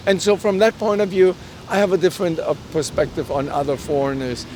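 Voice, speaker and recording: A middle-aged man with a German accent, a native German speaker, tells personal stories as an interview guest. His voice sits a little high, and he speaks earnestly and haltingly, often acting out bits of dialogue. He is recorded outdoors with faint vehicle noise around him. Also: darkish tone. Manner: halting, earnest delivery with self-quoted dialogue. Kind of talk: personal storytelling in a conversational interview. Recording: outdoor street recording with traffic rumble.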